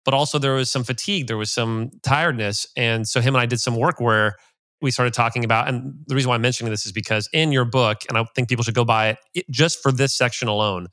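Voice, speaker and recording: A man's voice: mid-range voice, fast, a bit bright, dry recording. A man hosting an interview as a coach, chatty and full of filler words as he retells a client story. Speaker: podcast host and coach. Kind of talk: casual interview talk.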